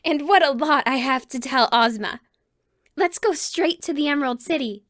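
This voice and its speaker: A woman voicing an excitable little girl in an audiobook, eager and breathless. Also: high voice, normal speed, no echo, studio quality, pitched above the performer's own voice.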